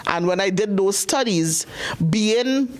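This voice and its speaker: A woman's voice: mid-range voice, animated, takes it slowly, a little mellow. Trini woman on a panel, sure of herself, explains stuff like a teacher. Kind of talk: studio panel discussion.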